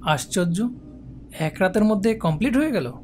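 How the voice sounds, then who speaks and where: High-pitched, pressing office manager acted by a man. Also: animated, normal speed, a little mellow, slight background noise. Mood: questioning. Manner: businesslike, formally polite but pressing. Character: brisk, demanding male office manager. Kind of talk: cartoon voice acting.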